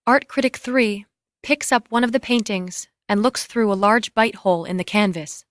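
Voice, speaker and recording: A woman's voice: medium pitch, normal speed. A woman reading scripted, screenplay-style narration and stage directions.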